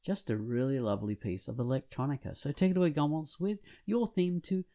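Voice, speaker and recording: A man co-hosting a podcast, chatting and reviewing in an animated, rambling, jokey manner. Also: a little high, fairly quick. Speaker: podcast co-host. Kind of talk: casual podcast chat and featured comic review.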